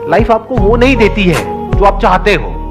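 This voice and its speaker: A man's voice: sits high, big pitch swings, moderate pace. A man giving a blunt motivational talk, repeating his key point for emphasis. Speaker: motivational speaker. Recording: music behind the voice. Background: music.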